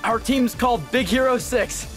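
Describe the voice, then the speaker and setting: A man's voice: extremely high pitch, normal speed, a bit bright, soft background music. A man playing an earnest teenage boy who leads a hero team in a video game. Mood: friendly, awkward. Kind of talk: video game cutscene acting.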